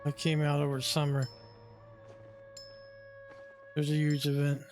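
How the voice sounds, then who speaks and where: A man's voice: a little high, monotone, a little slow, darkish tone. A man giving casual commentary as he plays through a video game. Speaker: game streamer. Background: game music.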